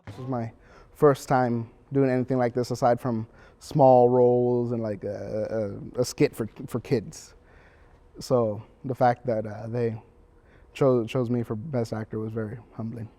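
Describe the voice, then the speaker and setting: A man's voice: medium pitch, little pitch movement, measured pace, dark tone. A teenage young man, a student actor, answering an interview in a hesitant, earnest way. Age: teens.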